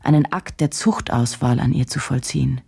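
Low, husky, brooding woman journalist. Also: mellow, full character voice. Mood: agitated, bitter. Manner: halting, introspective, intense. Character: brooding, driven woman journalist, troubled by her story. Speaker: radio drama actor. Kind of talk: German radio play, intimate monologue and interview.

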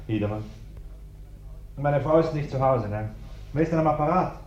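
A man's voice: mid-range voice, normal speed, darkish tone, slight background noise, lightly acted. An actor playing a curt, evasive, formal bourgeois businessman on the telephone in a German radio play. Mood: impatient, evasive. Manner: brusque, formal, impatient. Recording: dull, roomy old radio recording.

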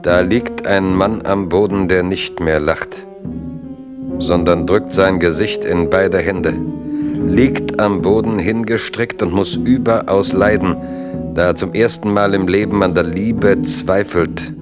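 Very deep, slow male narrator. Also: steady tone. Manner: grave, solemn storytelling. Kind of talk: scripted radio-play narration. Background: guitar music.

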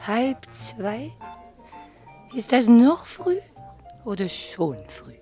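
A charming young lady in a radio play, playful and teasing. Her voice is very high and swings widely in pitch, and she takes her words slowly. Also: big pitch swings, mellow, soft background music, exaggerated voice. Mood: tipsy, affectionate. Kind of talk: German radio play acting.

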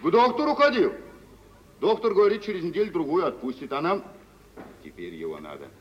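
An actor playing a fussing, woeful choir singer, eager to please, lamenting in broken, repetitive phrases in an old radio drama. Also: male voice, high-pitched, big pitch swings, fairly quick, recorded from a distance. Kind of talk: mid-century Russian stage drama acting for radio. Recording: dull, reverberant old radio recording, faint noise.

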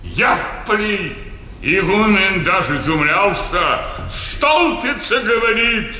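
An actor playing a pompous old servile majordomo in a florid, theatrical stage comedy manner. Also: male voice, high-pitched, animated, takes it slowly, pushed and bright, exaggerated voice. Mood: unctuous, coy. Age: older. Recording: echoey theatre stage recording, faint noise.

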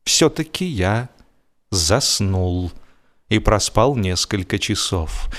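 A man narrating a literary story in an expressive, dramatic radio style, telling it in the first person with deliberate pauses. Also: mid-range voice. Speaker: audiobook narrator, actor. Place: radio studio. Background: music.

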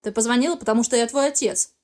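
A woman's voice: a little high, fast, a little mellow, lightly acted. An insistent middle-aged dad voiced by a woman audiobook reader, worried and a little hurt. He talks abruptly and firmly. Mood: insistent, sharp. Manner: abrupt, firm.

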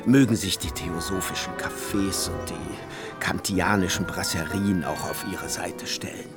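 An actor playing a pedantic, verbose scholar in a radio drama, lecturing in a pompous, ornate way. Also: male voice, fairly low pitch. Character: pedantic male professor, verbose, scholarly, conspiratorial moralist. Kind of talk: literary radio drama acting. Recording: studio recording with music behind.

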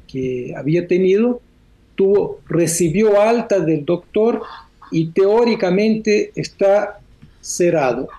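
A Brazilian man who learned Spanish as a second language, giving measured political commentary as a radio columnist. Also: high-pitched, warm tone, poor audio. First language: Portuguese. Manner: measured, explanatory, with frequent "¿no?" tags. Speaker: columnist, economist.

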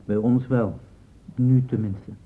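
An actor playing an informed, unsentimental doctor in a Dutch radio play, reporting in a clipped, factual way. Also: male voice, medium pitch, fairly even intonation, slow, a little hiss or hum, close to an everyday voice. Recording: muffled, dull old radio recording.

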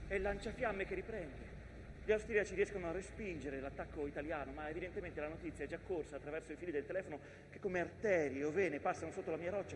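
Guy playing a proud tormented mountain, stage monologue, highish voice, big echoey hall n noisy. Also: lightly acted. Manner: declamatory, swelling from grave narration to outbursts. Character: anguished, proud talking mountain witnessing trench war. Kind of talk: theatrical dramatic monologue.

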